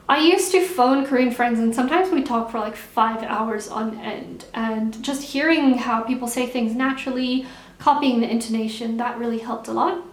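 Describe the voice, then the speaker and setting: A young woman vlogger chatting casually while answering viewer questions, relaxed and repeating words for emphasis. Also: fairly high pitch. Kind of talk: casual Q&A chat. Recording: echoey room.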